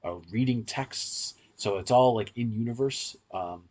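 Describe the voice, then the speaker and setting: A man's voice: mid-range voice, measured pace, darkish tone. A man hosting a podcast, explaining things at length in a conversational discussion.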